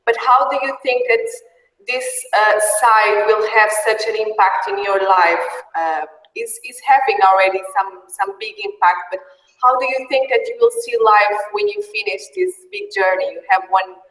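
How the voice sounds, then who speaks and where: A woman's voice: medium pitch, expressive, normal speed, a bit bright, roomy sound, big-room sound, far from the mic, poor audio. A woman hosting an interview over a call, friendly and laughing often, speaking English with some hesitation. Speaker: interview host. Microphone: video call.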